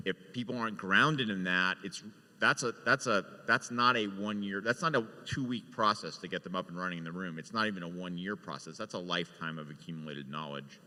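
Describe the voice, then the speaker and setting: Male panelist, showrunner type, forceful bright tone, emphatic explainer, long hall reverb. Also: deep voice, a little fast. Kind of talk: panel discussion.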